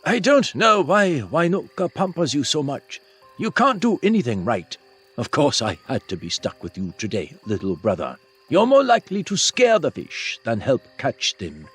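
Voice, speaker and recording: A scornful older brother from a fantasy tale, as acted out by a male narrator. The voice is husky and swings dramatically up and down as it taunts and talks down. Soft music plays underneath. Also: a little high, huge pitch swings, moderate pace, heavily acted. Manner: taunting, condescending. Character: sneering, bullying older half-brother in a fantasy tribe. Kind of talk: fantasy audiobook character voice. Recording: music behind the voice.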